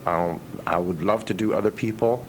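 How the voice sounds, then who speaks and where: A low-pitched man talks casually as an interviewed musician. He is anecdotal and halting, pausing to restart his thoughts. There is some noise behind him on the radio aircheck. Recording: noisy radio aircheck recording.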